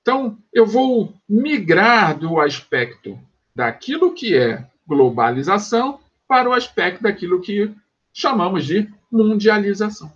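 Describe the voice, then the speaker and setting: A Brazilian man teaching a sociology class in an online lecture, explaining step by step and pausing to check that students follow, in a dull lo-fi home recording. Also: high-pitched, animated, fairly bright tone, slightly rough. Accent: Brazilian Portuguese. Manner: explanatory, checks understanding with quick asides.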